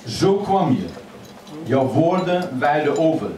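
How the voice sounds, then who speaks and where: A man's voice: fairly high pitch, recorded from a distance. Man reciting poems in an echoey café. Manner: measured, expressive recitation. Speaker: poet reading his poems. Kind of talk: poetry reading. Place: crowded old café, indoors. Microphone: stand mic, filmed from across the room. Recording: echoey café filmed from afar, loud chatter.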